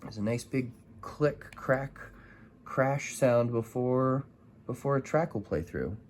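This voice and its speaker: A man's voice: medium pitch, slow pace, a little hiss or hum. A man giving a casual, unscripted gadget review, informal and hesitant as he thinks aloud. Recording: music in the background.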